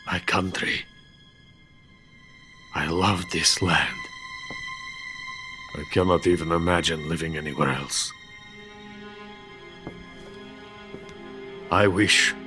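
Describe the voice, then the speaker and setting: A low-voiced older Soviet weapons scientist, bitter and proud in drink. He speaks with brooding, emphatic theatricality in a game cutscene. Music plays faintly behind him. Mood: melancholy, proud. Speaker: game character actor. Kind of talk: dramatic video game cutscene acting.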